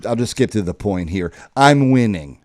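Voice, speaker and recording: A man's voice: on the low side, moderate pace. A man in his forties co-hosting a podcast, chatting and teasing about football picks. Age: 40s. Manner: animated, teasing. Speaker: podcast co-host. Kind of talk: casual sports chat.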